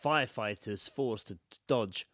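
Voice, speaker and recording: A man's voice: on the low side, measured pace, fairly bright tone, no room sound. Sweary British man, close dry sound. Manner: sweary, blunt, laddish. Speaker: podcast co-host. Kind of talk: casual banter, reading out stories.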